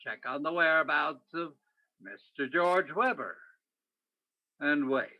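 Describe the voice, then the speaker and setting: Terse male police detective, acted by a man, highish voice, swinging pitch, clipped radio-noir delivery. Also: sharp tone, heavily acted, rough recording. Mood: brisk, urgent. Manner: clipped, theatrical second-person narration and blunt questioning. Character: terse male police detective narrating his own case. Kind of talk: old-time radio crime drama acting.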